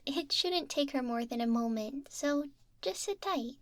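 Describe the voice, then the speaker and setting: Lady doing a sweet high soft clinic assistant girl, asmr roleplay, caring n polite, very close dry sound. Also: animated, measured pace, no room sound. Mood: gentle, attentive. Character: gentle, friendly young female ear clinic assistant.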